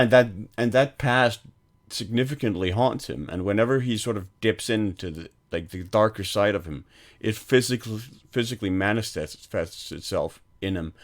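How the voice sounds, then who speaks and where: A man co-hosting a film podcast, talking casually and rambling, with frequent "like" and "um" fillers. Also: on the low side. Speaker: podcast co-host.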